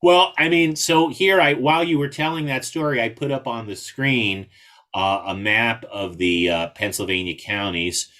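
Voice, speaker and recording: A man giving a casual, somewhat halting explanation as the invited speaker in an online meeting. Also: medium pitch, sharp tone. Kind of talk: casual explanatory talk with screen share.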